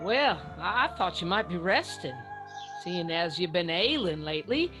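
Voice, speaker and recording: A woman's voice: fairly low pitch, very animated, moderate pace, music in the background. A woman playing a motherly, plain-spoken mountain matron with a Southern US accent in a radio drama. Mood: reassuring.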